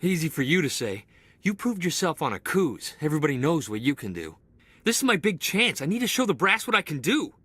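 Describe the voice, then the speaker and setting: An eager young corporal. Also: male voice, on the high side, fairly quick, slightly rough, dry recording, exaggerated voice. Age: young adult. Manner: eager, excitable, boyish. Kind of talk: video game cutscene acting.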